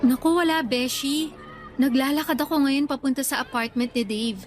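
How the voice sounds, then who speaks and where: A woman's voice: high-pitched, steady tone, normal speed, soft background music, close to an everyday voice. An actress playing a troubled young working girlfriend who confides in her friend, in earnest conversational Filipino radio drama style with a Filipino accent. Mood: casual, resigned.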